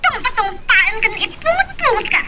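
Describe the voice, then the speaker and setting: A woman's voice: very high-pitched, sing-song, normal speed. A woman playing a spiteful, taunting woman in a radio love drama, on a noisy track. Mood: mocking, defiant.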